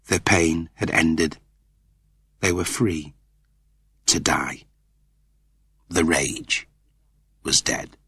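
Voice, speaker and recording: Deep-voiced man narrating with theatrical weight. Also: fairly bright tone, slightly rough, no echo, clean studio sound. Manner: theatrical, deliberate storytelling. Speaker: audiobook narrator. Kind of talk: dramatic scripted narration.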